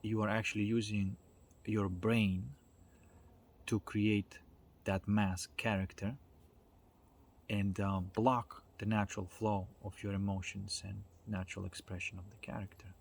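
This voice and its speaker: A man giving an informal explanatory talk in a reflective, halting way, often checking in with small tag questions. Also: lowish voice, flat delivery, gravelly. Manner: reflective, halting delivery with checking tags like "right?" and "you know". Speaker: speaker giving a self-development talk.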